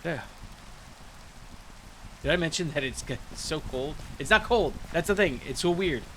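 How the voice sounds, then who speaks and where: A man's voice: a little high, lively intonation, normal speed, a bit bright, a little hiss or hum, low-quality recording. A man giving casual, rambling commentary while playing a video game, thinking aloud with many restarts. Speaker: gaming video creator. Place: cold room with a heater.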